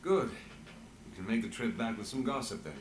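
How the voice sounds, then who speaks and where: A man's voice: medium pitch, lively intonation, brisk, reverberant, noisy background, close to an everyday voice. A man playing a reserved, weary returning hero in a fantasy video game. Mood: reserved, subdued.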